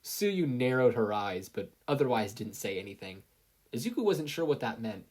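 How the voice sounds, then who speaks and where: Story reader, mid pitch, really animated scripted narration, slightly husky. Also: moderate pace. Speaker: audiobook-style fan fiction reader.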